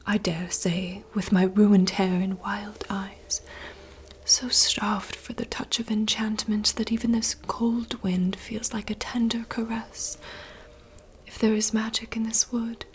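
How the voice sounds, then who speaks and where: A woman voicing a wistful young princess in a soft, slow, poetic whisper. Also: mid-range voice, flat delivery, a little hiss or hum, natural voice. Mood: yearning. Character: wistful young princess longing for wild woods. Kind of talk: whispered monologue reading.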